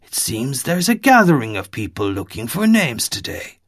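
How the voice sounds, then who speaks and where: A man doing a gentle lost grown man. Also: medium pitch, sing-song, moderate pace, no echo, studio quality. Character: lost adult man without memory, gentle and wary.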